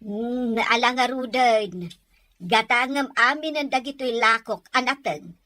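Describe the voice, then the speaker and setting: Woman playing a slow, high-voiced older vendor. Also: sharp tone, no room sound. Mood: mildly annoyed. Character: older village vegetable seller, exasperated but polite. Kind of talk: Ilocano audio drama acting.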